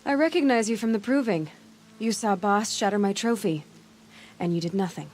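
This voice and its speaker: An actress playing a blunt, determined young outcast huntress in a video game, speaking in a direct, terse way. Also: female voice, mid-range voice, moderate pace, darkish tone, natural voice. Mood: defiant. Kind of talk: video game cutscene acting.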